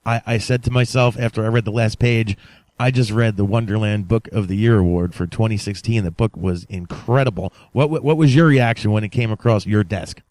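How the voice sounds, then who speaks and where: A man's voice: on the low side, fairly quick, a little mellow, no echo. A man hosting a podcast in casual, enthusiastic conversation, repeating himself for emphasis. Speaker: podcast host. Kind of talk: casual interview talk.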